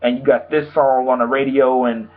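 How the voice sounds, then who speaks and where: A young man, slightly hoarse from a sore throat, giving a rambling, casual album review full of fillers. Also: medium pitch, flat delivery, moderate pace. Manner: rambling, loose, full of "like" and "you know". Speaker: music reviewer. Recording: tinny phone-like sound.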